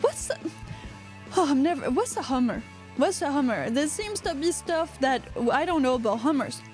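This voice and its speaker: A woman's voice: high voice, sing-song, moderate pace, darkish tone, faint music behind. A woman from Quebec with a Quebecois accent, co-hosting a casual live podcast, rambling and joking as she reads out chat comments. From Quebec, Canada. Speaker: podcast co-host.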